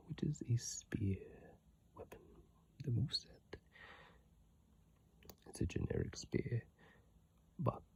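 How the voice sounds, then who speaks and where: ASMR creator: slow, gravelly-edged breathy whisper, informal lore reader, close phone mic. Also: mid-range voice. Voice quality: breathy whisper, mostly unvoiced. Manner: hushed, unhurried, informal with asides. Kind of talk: whispered ASMR reading and commentary on video game lore. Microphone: close iPhone mic.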